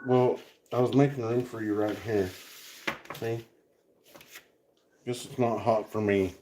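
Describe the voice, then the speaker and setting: A man's voice: on the low side, steady tone, unhurried, background noise. Man, 40s, West Texas drawl, easygoing co-host who rambles and restarts, casual kitchen talk. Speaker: livestream co-host. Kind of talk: casual kitchen chat on a livestream. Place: home kitchen.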